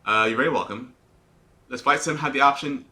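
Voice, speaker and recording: Man with a sharp, forceful radio-style voice. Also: medium pitch, a little fast. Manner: talks in a put-on radio-announcer voice; explains checklists step by step and answers viewer questions. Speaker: airline pilot. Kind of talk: live-streamed flight sim commentary.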